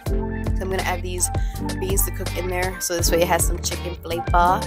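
A woman's voice: lowish voice, monotone, moderate pace, over loud music. A young woman in her twenties vlogging her meal prep, talking casually and slangily straight to a phone camera. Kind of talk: casual vlog chat. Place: grocery store, then home kitchen. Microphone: handheld phone camera at arm's length.

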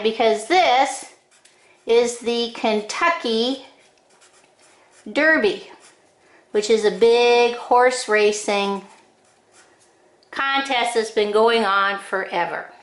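An older woman giving step-by-step drawing instructions in short, deliberate phrases with frequent pauses, recorded in an echoing room. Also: fairly high pitch, a bit bright. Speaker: drawing teacher. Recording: roomy, echoing indoor sound.

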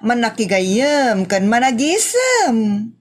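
A woman's voice: fairly high pitch, big pitch swings, slow pace, husky, exaggerated voice. A woman with an Ilocano accent playing a coy, smiling, sweet-talking woman in a comedy audio drama, teasing and sing-song.

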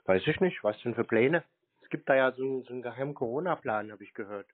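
A man on a prank phone call, acting obtuse with deadpan short replies. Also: mid-range voice, fast talker. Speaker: prank scambaiter. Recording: muffled narrow phone-like sound.

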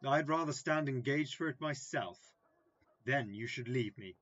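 A man playing a vain, gullible, self-important would-be gentleman in an early modern comedy audio drama. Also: medium pitch, a little slow, breathy edge, no echo. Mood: insistent. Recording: slightly dull, lo-fi remote recording.

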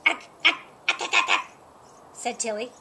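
A woman's voice: sits high, gravelly, slight background noise. A middle-aged woman reading a children's picture book aloud outdoors, raising her voice for the animal characters. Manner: slow, lively, lifts her voice for animal lines. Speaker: storyteller. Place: outdoors in a sunny yard. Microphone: camera a few feet away.

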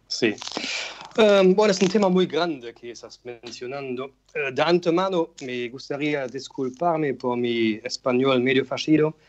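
A middle-aged man with a German accent, speaking Spanish as a radio interview guest. He explains carefully and pauses often with "em.". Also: mid-range voice, darkish tone. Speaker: guest, regional director. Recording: slightly dull remote line with faint noise.

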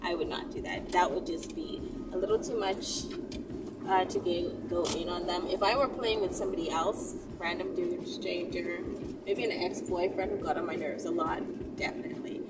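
A woman's voice: lowish voice, steady tone, normal speed. A woman in her thirties chatting casually and candidly to camera, answering viewer questions while driving. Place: inside a moving car, daytime. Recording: distant mic, loud car road noise. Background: vehicle and road noise.

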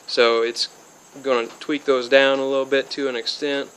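Flat-voiced young man rambling through a how-to. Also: medium pitch, normal speed, slight background noise, poor audio. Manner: rambling, many fillers and restarts, flat delivery. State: a bit sick and spacey. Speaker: DIY hobbyist. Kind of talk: casual how-to explanation. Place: outdoors by a car, then under a metal-roofed shed.

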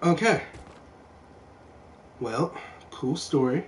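Slow-paced young man streaming a game, noisy recording. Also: a little high, expressive. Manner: laid-back, self-deprecating, sweary. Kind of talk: casual gameplay commentary. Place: indoor room at home.

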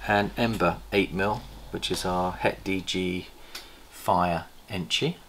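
Brief: middle-aged man, low gravelly voice, enthusiastic breeder chatting to camera, faint room noise. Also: fairly even intonation, a bit bright. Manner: chatty, enthusiastic, pauses to recall details. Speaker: snake breeder host. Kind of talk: casual show-and-tell vlog. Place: indoor reptile room lined with tubs. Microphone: camera across a table.

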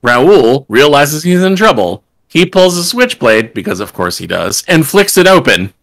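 Animated, sarcastic man reading a script. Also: fairly high pitch, normal speed, gravelly. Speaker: table-read host reading stage directions. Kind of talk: reading a film script aloud with joking asides. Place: home room, indoors, video call.

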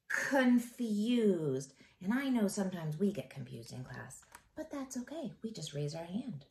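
Animated, unhurried woman teacher reading aloud. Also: medium pitch. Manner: expressive and encouraging, pauses to ask the listeners questions. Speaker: elementary school teacher. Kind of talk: read-aloud of a children's picture book, talking to young pupils.

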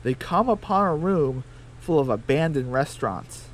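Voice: medium pitch, expressive, normal speed, background noise. A narrator reading a scripted radio drama.

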